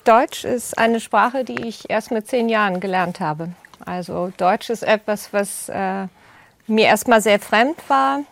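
An older woman who grew up in the United States, speaking as a podcast guest in a reflective, thoughtful way and pausing often with fillers. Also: mid-range voice, gravelly, a little hiss or hum. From raised in the United States. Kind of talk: conversational interview.

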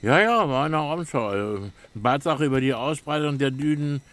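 A man's voice: fairly high pitch, fairly quick, a bit bright, close to an everyday voice. An actor playing a sceptical, teasing village man with colloquial, dry wording in a naturalistic radio play. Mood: recalling. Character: grumbling, sceptical village man, mocking but curious.

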